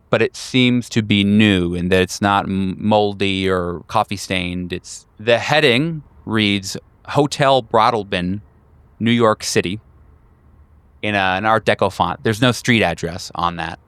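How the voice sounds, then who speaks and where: A man with a low, smooth voice runs a horror tabletop game. He narrates scenes descriptively and deliberately, breaking his phrases with pauses, in a very dry close recording. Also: a bit bright, no echo. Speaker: game master.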